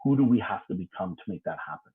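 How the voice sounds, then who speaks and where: A man in his forties talking as a guest on a business podcast, emphatic and animated, laughing easily between points. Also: low voice, fast, phone-quality sound. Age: 40s. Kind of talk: casual podcast conversation.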